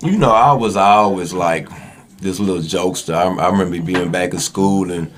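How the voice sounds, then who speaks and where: A man's voice: sits low, moderate pace, a bit bright, slight background noise. A man with a Southern US accent telling stories as a podcast guest in a casual, slangy, conversational way. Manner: slangy, informal. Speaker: podcast guest, actor and online skit comedian.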